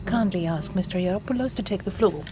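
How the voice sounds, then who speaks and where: A woman at mid pitch interpreting a conference talk as it happens, stopping often with fillers to catch up. Her voice comes over an interpretation feed with clear background noise. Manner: halting, frequent pauses and fillers while interpreting.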